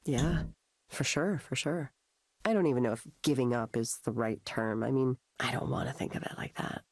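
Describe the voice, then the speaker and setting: An actress playing a discouraged, anxious artist confiding her self-doubt in a visual novel, in a halting, confessional way. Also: rumbling low, no room sound. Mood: resigned, searching. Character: discouraged, anxious young woman artist in therapy. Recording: game dialogue under clear music.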